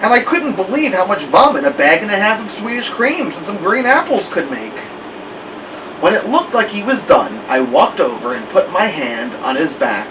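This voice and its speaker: A man with a mid-range voice narrates a story aloud in the first person. The recording is muffled and distant, with a strong steady hum like air conditioning behind him. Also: high-pitched, moderate pace. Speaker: storyteller reading a novel aloud.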